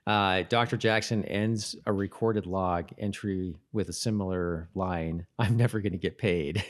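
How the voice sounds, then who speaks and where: A man with a fairly low voice talks casually as a podcast co-host. His delivery is halting, with phrases repeated or left trailing as he chats about television. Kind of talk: casual chat about a TV show.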